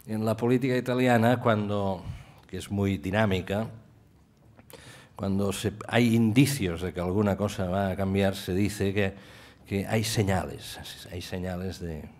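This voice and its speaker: A man's voice: sits low, a little mellow, slight background noise. A man moderating a formal conference in a hesitant, roundabout and courteous way. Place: hotel banquet hall, panel table.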